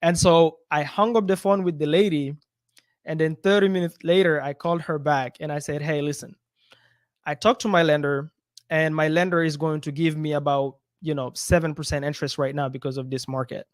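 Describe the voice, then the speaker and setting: A man who grew up in Belgium talks as a guest in a casual interview, soft-spoken and quick to laugh, often letting his sentences trail off. His voice sits a little high for a man. The close studio microphone leaves it dry. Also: fairly even intonation, dry recording. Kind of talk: casual interview over a video call.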